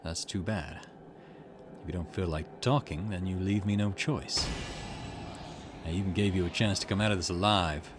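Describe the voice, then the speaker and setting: A man voicing a confident young curse bearer in a visual novel, calm, measured and coolly calculating. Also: deep voice, background noise, natural voice. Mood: coldly threatening.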